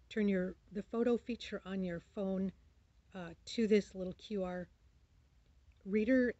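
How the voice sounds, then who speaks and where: A woman's voice: mid-range voice, dark tone. An older woman giving a chatty, enthusiastic quilting demonstration at a table in a fabric store. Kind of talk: casual product demo. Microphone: camera in front at table. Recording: slightly dull lo-fi sound.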